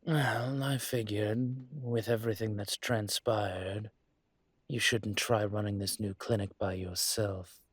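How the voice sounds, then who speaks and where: Man as male dragon mafia boss, roleplay audio, husky, calm, courteous, measured, very slow delivery, close dry sound. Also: on the low side, no echo. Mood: courteous, businesslike. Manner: measured, polite, deliberate with pauses. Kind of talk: scripted roleplay audio monologue to listener.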